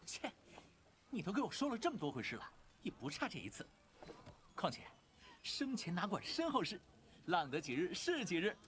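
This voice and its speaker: A playful young disciple in an animated drama, with a high, lively voice that swings freely. He is breezy, teasing and theatrical, over faint background noise. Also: moderate pace, pauses often, heavily acted. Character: carefree, cheeky, playful young male disciple.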